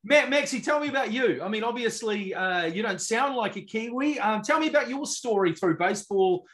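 A man with a high voice co-hosts a sports podcast. He asks a guest probing, curious questions about his background over a video call. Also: lively intonation, brisk, fairly bright tone. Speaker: podcast co-host. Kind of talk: casual interview on a video call.

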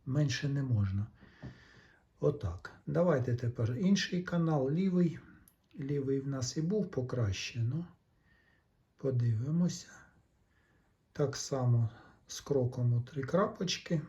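A man giving a casual, step-by-step repair demonstration, thinking aloud in an unhurried, explanatory way. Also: mid-range voice, animated, mellow, lo-fi sound. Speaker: electronics repair hobbyist narrating.

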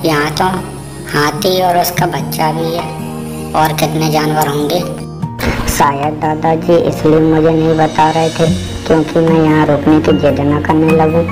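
A curious young cartoon boy, acted by a woman, pitched quite low. He is stubborn and talks to himself in exclamations as he explores. Music plays faintly behind him. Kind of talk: cartoon story voice acting.

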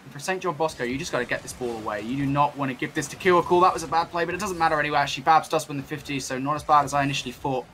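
Bright-voiced British esports caster. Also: male voice, a little high, very fast, few pauses, a little hiss or hum. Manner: chatty, self-deprecating, jokey asides. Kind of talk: esports play-by-play commentary.

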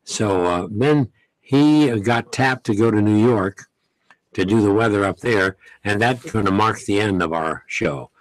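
Older man with a low, easygoing voice. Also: no echo, poor audio. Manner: unhurried, folksy, many pauses and "uh"s. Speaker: veteran radio host, guest. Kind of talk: interview, reminiscing. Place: radio studio. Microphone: studio mic, headset.

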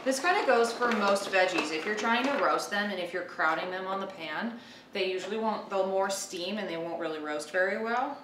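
A woman in her thirties talks through a cooking demonstration in an informal, rambling way. Her medium-pitched voice sits away from the microphone in an echoey home kitchen. Loud chopping and pan clatter run behind her. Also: moderate pace, background noise. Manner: informal, rambling, thinking aloud. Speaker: cooking show host. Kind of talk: casual cooking demonstration, talking while working. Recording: roomy, distant mic, loud kitchen clatter. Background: chopping, clicks, pans clattering.

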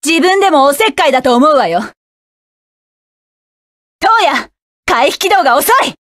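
A woman's voice: high voice, expressive, normal speed, fairly bright tone, no room sound, clean studio sound. An actress voicing a proud, commanding adult woman mecha pilot, delivering curt battle lines for a video game. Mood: fierce, commanding. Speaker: game character.

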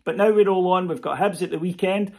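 A man in his forties, hosting a podcast and giving his post-match reaction in an expressive, animated way, with fillers and restarts, recorded on a close webcam at home. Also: sits high, fairly quick. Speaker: podcast host. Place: home room full of football memorabilia.

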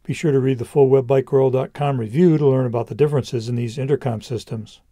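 A man narrates a scripted product review in a soft, dark-toned voice. The recording is dry and close, with no room sound. He speaks as a presenter explaining gear. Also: medium pitch, fairly even intonation, quick pace, no room sound.

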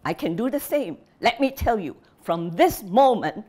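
A woman voicing a bitter, furious old father who shouts and scolds his family. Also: mid-range voice, big pitch swings, measured pace, voice pushed up. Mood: raging. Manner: shouting, scolding, jabbing repetition.

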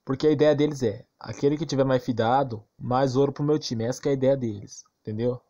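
A man's voice: mid-range voice, fairly even intonation, quick pace, warm tone, muffled recording. A man with a Brazilian accent giving a casual, ranting game commentary, slangy and crude, emphatic and repetitive with rhetorical questions. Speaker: gaming YouTuber.

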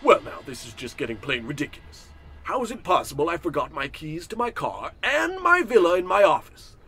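A man's voice: on the high side, wildly swooping pitch, fairly quick, pushed and bright, soft background music, exaggerated voice. A man playing a pompous, cantankerous, scornful rich businessman in a comedic old-time radio play. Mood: flustered. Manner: pompous, grumbling to himself.

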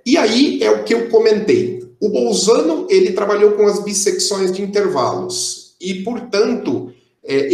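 A young man gives a math lecture over a video call. He explains steadily and fills his pauses with "é". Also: high-pitched, reverberant. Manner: explanatory, steady, with frequent "é" fillers. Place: indoor room, on a video call.

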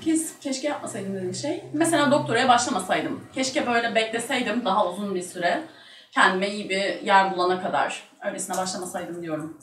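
A woman's voice: medium pitch, a little fast, fairly bright tone. A woman in her thirties chatting casually as a talk show guest. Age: 30s. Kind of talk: casual chat. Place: small indoor studio set with curtain. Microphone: one shared table microphone, off from mouth. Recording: echoey room, distant microphone.

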